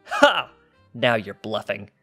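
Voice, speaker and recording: A man voicing a skeptical pony guard in a story reading, brisk, incredulous and exasperated. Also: fairly low pitch, huge pitch swings, bright, forceful tone, soft background music, heavily acted. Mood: scornful. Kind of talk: fan fiction character dialogue.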